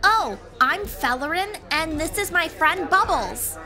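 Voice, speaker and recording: A woman's voice: extremely high pitch, animated, unhurried, a crowd in the background, voice pushed up, heavily acted. A woman voicing a cheerful, eager, innocent little girl from the sea in a fantasy audiobook. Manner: bright, innocent, bubbly. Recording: music playing behind.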